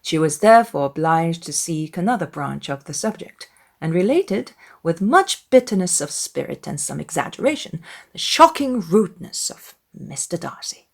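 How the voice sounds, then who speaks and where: A woman's voice: on the low side, sing-song, normal speed, creaky. A woman reading scripted literary narration as an audiobook narrator.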